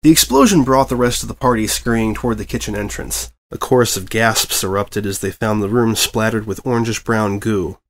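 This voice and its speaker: A man reading fan fiction as narrator, in an expressive scripted storytelling style. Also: fairly low pitch, brisk, few pauses, slight background noise.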